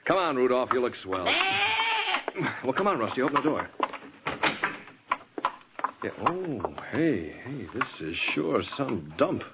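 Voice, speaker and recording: An actor playing an easygoing, soft-hearted, rambling newspaperman in a 1940s radio drama. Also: mid-range voice, sing-song, a little slow, pauses often. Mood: cheerful. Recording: tinny, very noisy old radio broadcast.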